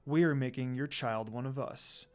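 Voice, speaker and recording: Male villain from a man reading aloud: cold, scheming, stern and measured, quietly commanding, story reading. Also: mid-range voice, pitched below the performer's own voice, close to an everyday voice.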